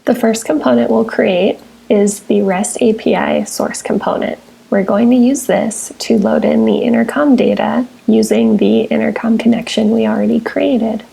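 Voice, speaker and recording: Husky, creaky woman narrating a software tutorial. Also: on the high side, steady tone, normal speed, rough recording. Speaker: software tutorial presenter, technical support engineer. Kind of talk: scripted step-by-step screencast narration.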